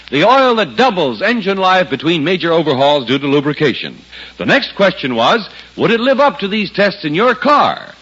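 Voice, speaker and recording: A man's voice: a little high, bright, forceful tone, slightly rough. A male announcer reading 1950s radio advertising in an emphatic, polished manner. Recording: dull old radio recording.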